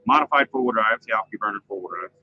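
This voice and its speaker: A man's voice: on the low side, racing, slight background noise. A man co-hosting a casual live show outdoors at a fair, reading out the top finishers class by class. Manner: lively, reads out lists of results. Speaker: co-host recapping pulling results. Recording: slightly dull live stream sound with faint fair ambience.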